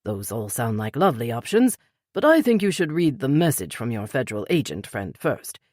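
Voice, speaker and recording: A woman voicing a polite, unflappable older British gentleman with a clipped British accent. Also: rumbling low, very animated, normal speed, dry recording, studio quality, exaggerated voice. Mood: calm, polite.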